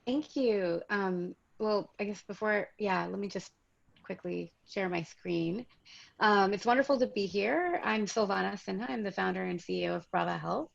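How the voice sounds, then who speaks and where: A woman from the United States, a startup founder, pitching her company conversationally over a video call with frequent ums. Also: normal speed, creaky, no room sound. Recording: dull lo-fi call audio.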